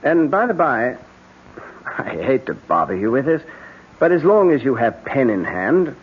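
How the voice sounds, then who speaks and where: Husky, placating husband. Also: male voice, medium pitch, big pitch swings, a little slow. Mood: soothing. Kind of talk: 1970s radio drama acting. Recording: dull old radio broadcast.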